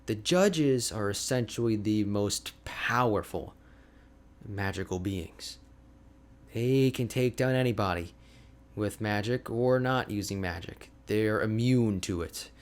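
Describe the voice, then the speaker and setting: A man playing an energetic, boastful, chatty ice mage hermit in an ASMR roleplay, with a playful, expressive, conversational delivery. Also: on the low side, takes it slowly. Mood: explanatory. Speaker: audio roleplay voice actor.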